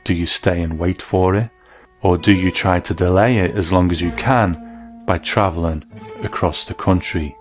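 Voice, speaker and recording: A man's voice: low voice, steady tone, normal speed. A man presenting a scripted, explanatory documentary narration as host. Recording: voice-over with faint music behind. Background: faint music.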